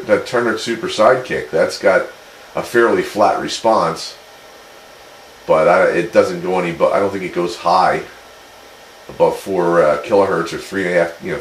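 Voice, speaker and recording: Real low voiced guy rambling tech stuff on cb radio, roomy with some hiss. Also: normal speed. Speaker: amateur radio hobbyist. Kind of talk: casual chat over two-way radio. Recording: roomy, noisy CB radio speaker pickup.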